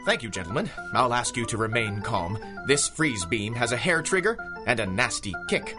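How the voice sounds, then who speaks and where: A man's voice: mid-range voice, sing-song, moderate pace, over music, natural voice. A man acting a formal, pompous, politely menacing criminal mastermind in a 1930s-style radio drama. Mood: smug menace. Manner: formal, pompous, theatrical phrasing.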